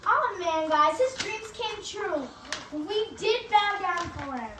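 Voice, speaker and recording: A woman's voice: very high voice, lively intonation, slow, fairly bright tone, exaggerated voice. A woman playing an earnest brother in amateur readers' theatre. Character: earnest brother pleading with his father. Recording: distant echoey room, faint noise.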